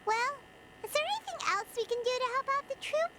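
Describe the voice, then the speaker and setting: A woman voicing a tiny childlike fairy companion in a video game, excitable and cheeky. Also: very high voice, quick pace. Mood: eager, pleading. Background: game music.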